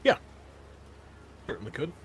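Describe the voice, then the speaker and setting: A man's voice: a little slow, a bit bright, slight background noise. A man running a tabletop roleplaying game, improvising narration in an informal, hesitant way. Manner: informal, hesitant phrasing with frequent "like". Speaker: game master.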